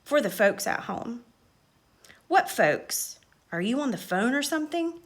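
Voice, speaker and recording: A woman's voice: on the high side, big pitch swings, measured pace. A woman voicing a blunt, bossy young girl in a storybook, barking impatiently. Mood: scornful.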